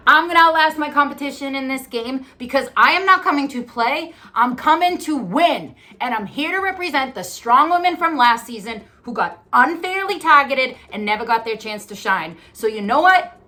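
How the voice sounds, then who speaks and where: A woman in her thirties from Massachusetts gives a cocky contestant intro. Her voice sits high and rings bright and forward. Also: animated, normal speed, few pauses. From Massachusetts, USA. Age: 30s. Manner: bold, boastful. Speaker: reality game contestant. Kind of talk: confident intro to camera.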